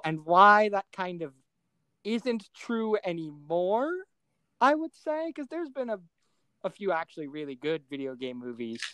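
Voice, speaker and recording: Clear-voiced man co-hosting a podcast. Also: fairly high pitch, dry recording, rough recording. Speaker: podcast co-host. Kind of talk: casual pop culture discussion.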